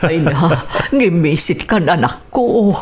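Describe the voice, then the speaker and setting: A woman's voice: low-pitched, expressive, slow pace, darkish tone. A Filipino woman playing a doting, worried middle-aged mother in a radio drama, speaking tenderly and emotively. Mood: fussing, longing.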